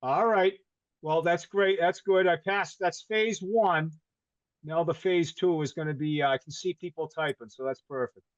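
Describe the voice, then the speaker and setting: An older man with a hard Boston accent presents over a webinar call, looping through restarts and self-corrections with a light, joking tone. His voice sits fairly high, and the sound is dry and muffled like a video call. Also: normal speed, no echo. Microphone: video call microphone. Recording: dull webinar call audio.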